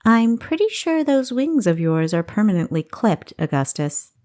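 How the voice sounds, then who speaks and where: Narrator as a feisty young woman, swooping pitch. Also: very animated, normal speed, a little mellow, natural voice. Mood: scornful. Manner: sarcastic, scornful. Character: feisty, sharp-tongued young woman adventurer.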